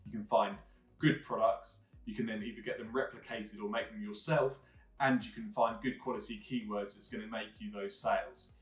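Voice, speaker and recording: A man's voice: on the low side, fairly even intonation, moderate pace. A man hosting a video intro, repeating and stacking phrases as he builds a point. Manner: repetitive, listing phrases. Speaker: online business host. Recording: roomy, mic far off, faint music.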